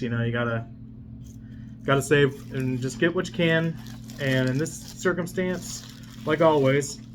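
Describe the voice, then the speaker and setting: A man chatting casually and unscripted as he presents an unboxing video, in a rambling, conversational way. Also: medium pitch. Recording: clear steady background hum.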